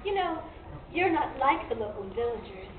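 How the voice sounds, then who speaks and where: Casting: actress as seductive villainess for comic radio play, sweetly coy and high, tinny with clear background noise. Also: female voice, animated, moderate pace. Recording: noisy, echoey, tinny, distant stage recording.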